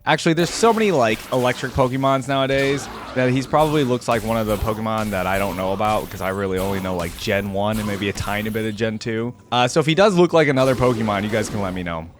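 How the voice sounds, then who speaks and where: A man hosting a casual reaction video, chatting excitedly and thinking aloud with lots of fillers. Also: lowish voice, quick pace, hardly any pauses, music in the background.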